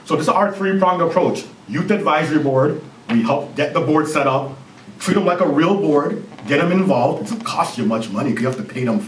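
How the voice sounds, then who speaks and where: A man in his thirties with a Jamaican accent, a CEO giving an energetic conference presentation and joking with the audience. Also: on the high side, fairly quick, a little hiss or hum. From Canada. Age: 30s. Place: university lecture hall. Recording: roomy hall recording from far off.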